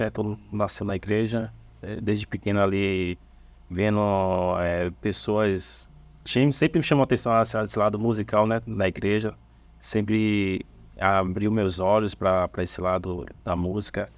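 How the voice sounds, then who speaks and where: A man from Salvador with a Bahian accent, a church band's bassist, chatting casually on a podcast in an easygoing, halting storytelling way with fillers and restarts. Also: steady tone. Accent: Bahian Portuguese. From Salvador, Brazil. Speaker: bassist of a church worship band, podcast guest.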